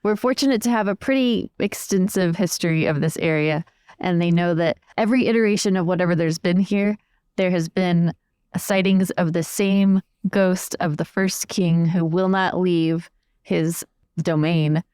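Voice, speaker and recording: A woman's voice: lowish voice, moderate pace, darkish tone, smooth, no echo. A woman improvising a story in a conversational, chatty way as part of a tabletop role-playing game.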